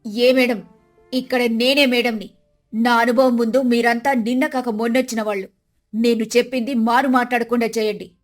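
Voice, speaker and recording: A woman's voice: fairly high pitch, steady tone, moderate pace, faint music behind, natural voice. A woman voicing a proud, authoritative older mother-in-law in a dramatised moral story, haughty and commanding. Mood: haughty, angry.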